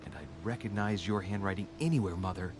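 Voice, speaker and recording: An actor voicing a young male noble investigator in a video game, measured and musing, often dropping to a hushed voice. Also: low voice. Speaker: game protagonist. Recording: game audio with background noise.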